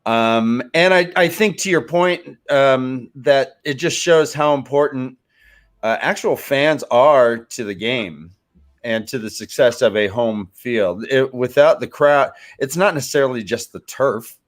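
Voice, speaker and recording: Man thinking aloud, halting sports talk. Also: mid-range voice. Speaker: fantasy football panelist. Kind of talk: casual sports discussion.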